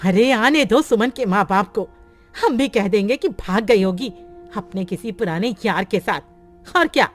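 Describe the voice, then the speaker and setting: A woman voicing a harsh, scolding older mother-in-law in a cartoon story, in a lower, contemptuous voice. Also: expressive, unhurried, faint music behind, natural voice. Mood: scornful. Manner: sharp, nagging and contemptuous.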